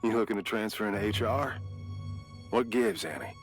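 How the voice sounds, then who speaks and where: An actor playing a gruff, protective veteran security guard in a video game, with a wry, blunt, folksy manner. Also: male voice, lowish voice, expressive, normal speed, faint music behind.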